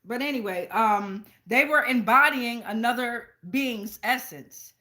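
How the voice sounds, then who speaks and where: A woman's voice: fairly high pitch, a little slow, sharp tone. An American woman giving a casual live tarot reading in a slangy, profane, rambling way. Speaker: tarot reader, channel host.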